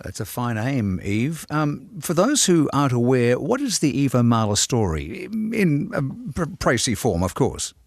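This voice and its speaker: A man co-hosting a podcast, presenting in a measured, polite, scripted way. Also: medium pitch, sing-song, creaky, no echo. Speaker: podcast co-host. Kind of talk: scripted podcast introduction and interview.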